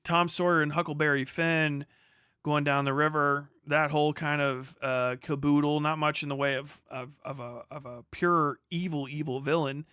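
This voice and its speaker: Bright-voiced man rambling on a livestream. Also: on the high side, steady tone, normal speed, clear, resonant tone, dry recording, clean studio sound. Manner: opinionated, swears freely, digressive. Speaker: livestream host. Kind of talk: rambling livestream monologue on film and culture.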